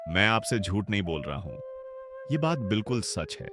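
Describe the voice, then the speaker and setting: A despairing poor farmer and father, voiced by a man in a cartoon, with a low voice that rises and falls widely as he mourns and pleads. Music plays throughout. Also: big pitch swings, normal speed. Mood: pleading. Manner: pleading, sorrowful. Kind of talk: cartoon voice acting. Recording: music behind the voice.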